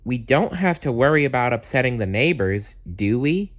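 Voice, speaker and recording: A man's voice: mid-range voice, lively intonation, moderate pace, lo-fi sound, pitched above the performer's own voice, close to an everyday voice. A man voicing a messy, freeloading cartoon bear neighbor in a casual, wheedling way. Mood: carefree.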